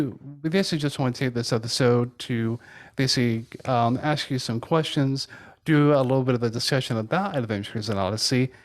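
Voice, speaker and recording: A man co-hosting a casual interview, speaking informally and a bit hesitantly. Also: medium pitch. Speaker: podcast co-host, interviewer.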